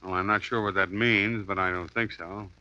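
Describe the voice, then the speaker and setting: A man's voice: fairly low pitch, steady tone, normal speed, bright, forceful tone. An actor playing a wisecracking, breezy male private detective in a 1950s radio drama. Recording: dull lo-fi 1950s radio broadcast.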